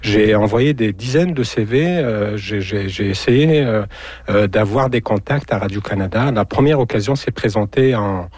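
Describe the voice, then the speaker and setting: A man's voice: on the low side, normal speed, a little mellow, breathy edge, no room sound. Hesitant man from Algeria, dry sound. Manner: hesitant, many fillers and repeated words. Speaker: radio journalist, guest. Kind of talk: radio interview.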